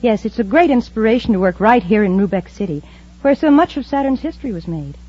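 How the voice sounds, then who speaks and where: A woman's voice: on the high side, animated, fast. An actress playing an imperious, scheming female crime boss in a 1950s radio serial. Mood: smoothly deceptive. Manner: haughty, commanding, smooth when lying. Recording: muffled old radio recording.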